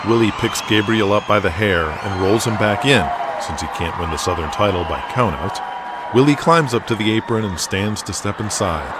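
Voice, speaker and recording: A man with a low-pitched voice delivers scripted narration for an audio drama. Loud ambient noise runs behind him throughout. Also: expressive, normal speed.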